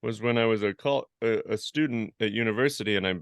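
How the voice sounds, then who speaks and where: A man's voice: fairly low pitch, quick pace, clear, resonant tone, no echo, clean studio sound. A man who is a comedian, guesting on a podcast, telling self-deprecating stories and laughing often. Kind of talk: casual interview chat.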